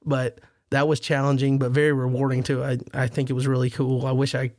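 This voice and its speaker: Male podcast host, casual rambling storyteller with a self-deprecating streak, narrow pitch range, dry close sound. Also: monotone, a little fast, darkish tone, dry recording. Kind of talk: casual roundtable chat.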